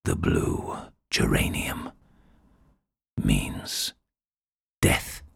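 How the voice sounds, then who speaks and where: A man voicing an ominous fortune teller woman, delivering slow, low, whispered warnings. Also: a bit bright.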